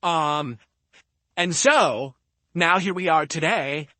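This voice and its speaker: A man's voice: a little high, takes it slowly, sharp tone, no room sound. A middle-aged man streaming from his home room into a desk microphone, talking casually and slipping into an angry, swearing rant. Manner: talkative, ranting, swears freely. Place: home room with window and fans. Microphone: desk microphone at normal distance.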